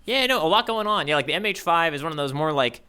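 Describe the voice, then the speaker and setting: Playful, expressive male co-host. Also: fairly high pitch, fast, clean tone. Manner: playful, joking banter. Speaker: podcast co-host. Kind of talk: casual chat.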